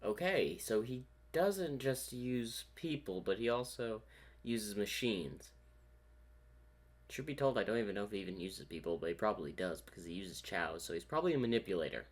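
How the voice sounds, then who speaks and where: A man's voice: lowish voice, animated, normal speed. A man hosting a reaction video, musing aloud in casual commentary. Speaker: reaction host.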